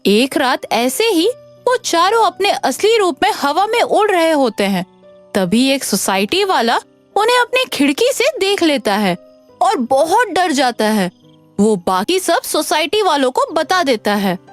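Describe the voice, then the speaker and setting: A woman narrating a scripted animated story for children. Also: high voice, measured pace. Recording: music under the voice.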